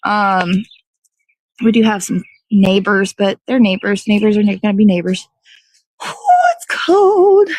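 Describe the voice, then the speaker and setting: A woman's voice: medium pitch, sing-song, unhurried, darkish tone, slightly rough, rough recording. A woman, hosting a casual, rambling livestream in a playful, slangy, easygoing way. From American South. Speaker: host of a morning livestream show.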